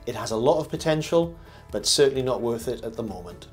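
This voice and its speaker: An older man reading a scripted review voice-over as host, in a measured, formal presenter manner. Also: mid-range voice, animated. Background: background music.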